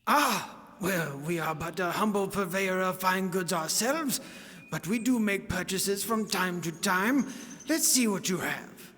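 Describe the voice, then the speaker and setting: Man acting a pompous, high-voiced music shopkeeper. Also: expressive, measured pace, bright, forceful tone, full character voice. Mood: lofty, polite. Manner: pompous, florid, archaic phrasing. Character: pompous, easily outraged music shop clerk. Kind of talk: comic radio sketch advertisement.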